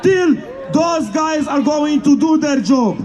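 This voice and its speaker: A man giving a shouted rally speech at an outdoor street protest, in halting English with slips of grammar. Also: extremely high pitch, animated, very noisy. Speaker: protest speaker. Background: faint crowd.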